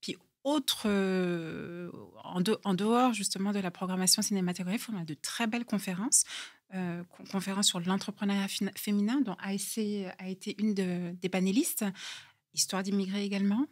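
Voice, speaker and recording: Woman co-host, mid pitch, halting and informative, dry close recording. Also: fairly even intonation, no echo. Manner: informative, halting with many fillers. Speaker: co-host, event organizer. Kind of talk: interview conversation.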